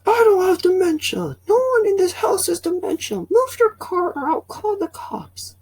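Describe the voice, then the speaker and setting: A man voicing a cranky old male neighbor in his seventies who yells indignantly in a shrill voice from off to the side. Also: very animated, moderate pace, heavily acted. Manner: shrieking, indignant.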